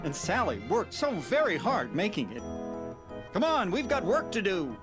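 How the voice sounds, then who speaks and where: A man's voice: sits high, huge pitch swings, normal speed. A man playing an eager, good-natured skeleton showman in a cartoon video game, speaking with grand dramatic expression. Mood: eager, earnest. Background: orchestral game music.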